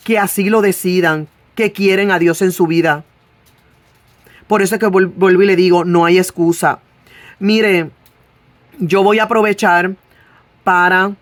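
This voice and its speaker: A woman from Rico, preaching earnestly and sharing her own testimony, drawing out key words and pausing on fillers. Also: medium pitch, no room sound. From Puerto Rico. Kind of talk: sermon with personal testimony.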